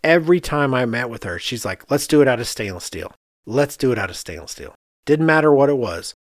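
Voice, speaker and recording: A man, an architect hosting a podcast, chatting casually and telling animated anecdotes in which he quotes other people. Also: mid-range voice, a little fast, no room sound, clean studio sound.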